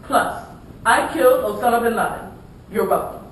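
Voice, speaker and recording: A woman's voice: normal speed, natural voice. A woman playing a confident male president giving scripted campaign statements in a puppet show debate. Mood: assured. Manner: declarative campaign speechmaking. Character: male US president, confident candidate defending his record. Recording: echoey room, distant mic, rumbling noise.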